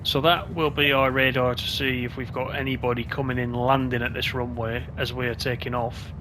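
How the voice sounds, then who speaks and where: A man's voice: medium pitch, flat delivery, fairly quick, background noise. A man giving casual gameplay commentary as a video host, chatty and thinking aloud.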